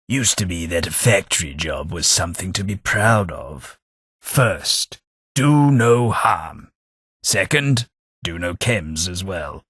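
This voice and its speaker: A man's voice: deep voice, a bit bright, breathy edge, no echo, studio quality, heavily acted. An actor voicing a sardonic, pompous companion figure who was once a man, speaking with wry dryness in a game. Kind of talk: video game voice acting.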